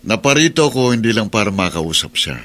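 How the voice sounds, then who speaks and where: An actor playing an entitled, menacing creditor in a radio drama, pushy and overbearing. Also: male voice, lowish voice, big pitch swings, fairly quick, bright, forceful tone, a little hiss or hum. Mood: impatient, demanding. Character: menacing, entitled creditor man claiming his bride. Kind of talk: Tagalog radio drama acting.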